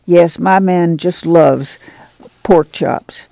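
A woman's voice: lowish voice, extremely slow, creaky, warm tone, no room sound, full character voice. A woman voicing a stout, chatty, neighborly rural woman with folksy talk in an audiobook.

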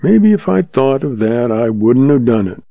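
A man voicing a steady young cowboy who talks slowly and plainly, in a dull lo-fi recording. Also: medium pitch, gravelly, no echo. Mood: quiet. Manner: slow and plain-spoken. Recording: dull lo-fi audio.

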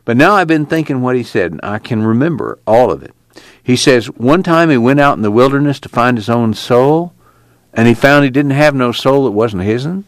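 A man voicing a weary young man in hiding, who speaks in a low, unhurried, folksy rural way. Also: lively intonation, dry recording, lightly acted. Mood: reflective. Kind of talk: audiobook character reading.

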